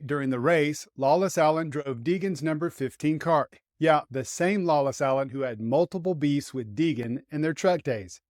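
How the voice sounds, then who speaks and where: A man's voice: a little high, no echo, clean studio sound. A man hosting a motorsport show, delivering scripted commentary on racing news. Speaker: host and motorsport writer.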